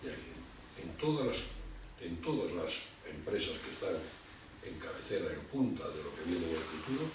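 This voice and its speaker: A man giving a public lecture. He talks in a halting way and often repeats words while he gathers his thoughts. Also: sits low, big pitch swings. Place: lecture hall. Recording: distant mic in an echoey hall with background noise.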